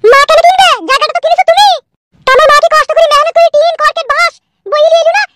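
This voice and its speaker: A woman voicing an indignant, quarrelsome wife in comic cartoon dialogue, shrill and scolding. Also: racing, heavy background noise. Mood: defensive. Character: indignant, quarrelsome young wife defending her mother.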